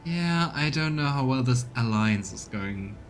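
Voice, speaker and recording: A man's voice: mid-range voice, animated, unhurried. A man giving casual let's play commentary as a game streamer. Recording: music behind the voice. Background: game music.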